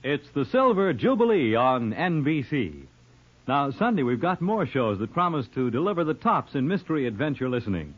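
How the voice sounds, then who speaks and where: A man's voice: medium pitch, big pitch swings, normal speed, soft background music. A man working as a radio announcer, reading scripted promos in 1950s broadcast style. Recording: muffled old radio recording.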